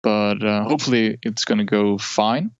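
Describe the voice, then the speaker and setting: A man from Norway talks as an interview guest, with a lowish, gravelly voice and frequent fillers and restarts. The sound is dull and muffled. The recording is close and dry. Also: moderate pace, dry recording. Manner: hesitant, many fillers and restarts. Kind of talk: casual interview talk.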